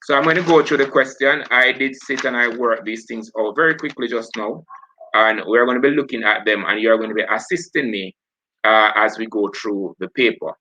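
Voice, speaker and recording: A man's voice: mid-range voice, brisk, pushed and bright, rough recording. A man from Jamaica with a Jamaican accent, hosting a live online revision session and talking through past papers as he goes. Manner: talks while working through papers, self-correcting.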